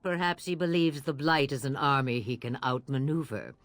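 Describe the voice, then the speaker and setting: A woman's voice: extremely low pitch, normal speed, no echo. An actress playing a cryptic, commanding old witch of the wilds in a video game, sardonic and archaic in her phrasing. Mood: dark, knowing. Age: older.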